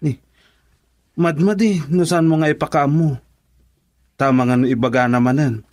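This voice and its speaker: A man doing an Ilocano friend, earnest, persuasive, mid-pitched, dry close sound. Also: normal speed, a little mellow, dry recording, lightly acted. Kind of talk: radio drama acting.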